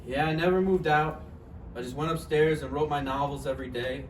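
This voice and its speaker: A man's voice: on the high side, fairly even intonation, a little fast, lightly acted. A man playing a gloomy, wry old failed novelist on his deathbed in a live table read of a stage play. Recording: echoey noisy room, far from mic.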